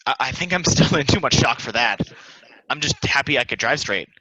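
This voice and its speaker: Actress as anxious female driver, bright edge, overwhelmed, stage-play read, dry lo-fi sound. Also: very deep voice, expressive, fairly quick, slightly rough, no echo, heavily acted. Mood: giddy disbelief. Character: anxious, overwhelmed woman driver hurrying to a prize.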